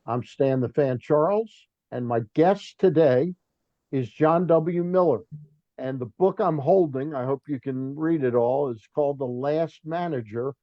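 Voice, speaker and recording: A man's voice: a little high, measured pace, dry recording, muffled recording. A man hosting a sports talk show, chatting bluntly with a guest and reading sponsor plugs. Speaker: sports radio host. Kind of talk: casual interview chat.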